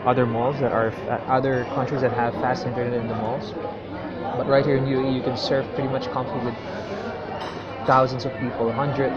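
A young man from the Middle East, vlogging in a chatty, rambling way and often correcting himself, filmed on a camera held at arm's length outdoors, in a metro station and in a cafe. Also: medium pitch. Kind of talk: casual vlog narration. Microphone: selfie camera at arm's length. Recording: dull lo-fi camera audio with loud street noise.

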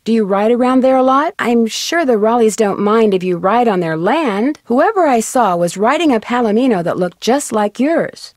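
A woman acts out a young American female detective in an adventure game. Her voice sits fairly high and is recorded dry. She is polite and friendly, enunciates clearly and keeps an inquisitive tone. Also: a little mellow, no echo, natural voice. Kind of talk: scripted adventure game dialogue.

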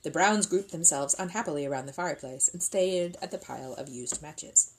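A middle-aged woman reading a children's book aloud as expressive scripted narration, seated indoors at a table. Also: low-pitched, brisk, rough recording.

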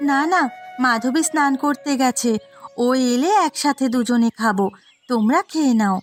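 A woman voicing a gently nagging village housewife in a fairy-tale cartoon. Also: high-pitched, normal speed, soft background music, lightly acted. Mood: calm, homely. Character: village housewife, sister-in-law, gently nagging about repairs.

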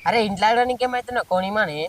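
A man's voice: high-pitched, racing, distant mic, over loud music, lightly acted. A man with a Telangana Telugu accent playing a blunt, practical village friend in a comedy skit.

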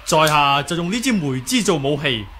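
An actor playing a courteous, confident young martial hero in a 1980s Hong Kong Cantonese radio drama, formal and composed. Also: male voice, fairly high pitch, big pitch swings, normal speed. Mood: confident, polite. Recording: noisy old radio drama recording.

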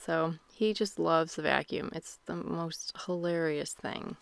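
A young woman chatting casually in a relaxed voice-over about cleaning her home and her baby son. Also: low voice, unhurried, creaky, soft background music. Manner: chatty, relaxed, self-deprecating. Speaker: vlogger, mom.

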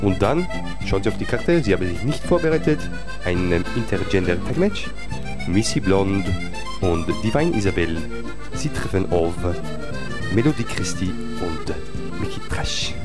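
Jokey male wrestling commentator, lowish voice. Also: sing-song, normal speed, over loud music. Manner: jokey, drops in mock-French phrases.

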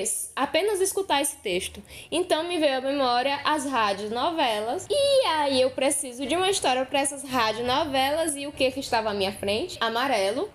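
A woman hosting a podcast in an informal, conversational storytelling way. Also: high-pitched. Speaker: podcast host. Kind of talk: casual podcast narration.

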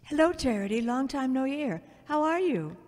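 A woman playing a fond, gently teasing older mother in an audio drama. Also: high voice, sing-song, moderate pace. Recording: long echoey reverb.

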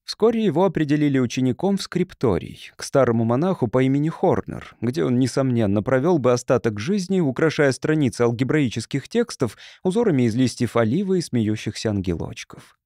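A man's voice: mid-range voice, expressive, brisk, warm tone, dry recording, clean studio sound. A man reading literary fiction as a scripted audiobook narration.

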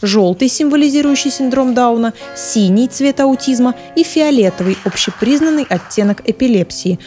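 A woman's voice: on the high side, animated, moderate pace, warm tone, soft background music. A woman reporter reading scripted narration for a television news report.

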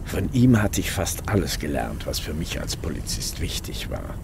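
An actor playing a weary divorced police inspector in his forties, narrating a crime radio drama in a sombre, measured, reflective way. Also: low voice, sing-song, creaky, noisy background, full character voice. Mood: sober. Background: music.

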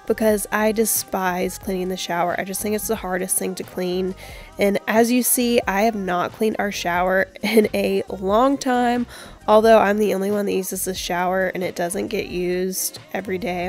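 A young woman narrating her house cleaning in a chatty, upbeat voice-over. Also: medium pitch. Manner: chatty, upbeat, with small laughs. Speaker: home cleaning vlogger.

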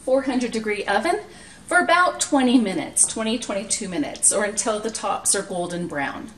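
A middle-aged woman demonstrating a recipe step by step in a plain, practical, unhurried way. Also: mid-range voice, animated, fairly bright tone. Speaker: home cooking show host. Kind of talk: cooking demonstration, explaining steps. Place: small home kitchen, indoors. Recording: roomy, distant mic, noisy kitchen clatter. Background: clattering dishes, utensils and clicks.

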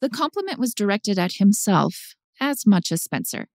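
A woman's voice: mid-range voice, big pitch swings, normal speed, darkish tone, no echo, studio quality. A woman narrating a story for an audiobook in a scripted style.

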